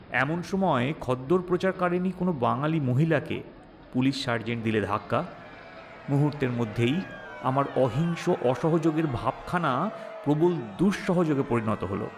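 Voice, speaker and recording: Guy reading a wry dramatic story, really lively pitch swings, bit of creak, music under it. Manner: expressive, wry, theatrical delivery. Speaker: story narrator voicing the protagonist. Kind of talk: dramatized audio story narration in first person.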